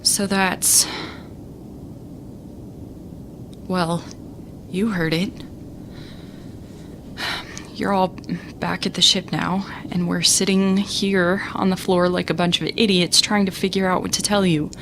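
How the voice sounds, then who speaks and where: A woman playing a scared young student captain in an audio drama, self-doubting but determined, speaking in halting bursts. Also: fairly low pitch, level tone, background noise. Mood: stunned, anxious. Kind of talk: audio drama monologue as a recorded message.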